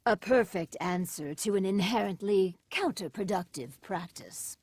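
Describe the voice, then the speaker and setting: Slow, clinical female vault overseer. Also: medium pitch, darkish tone, husky, no echo. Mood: clinical, self-assured. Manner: formal, clinical, deliberate. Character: officious, coldly pragmatic female vault overseer, measured and scheming. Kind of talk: video game character dialogue.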